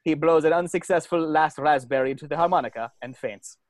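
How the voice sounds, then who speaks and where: A man's voice: on the high side, a little fast, no echo. A man narrating stage directions from a script during a cartoon table read.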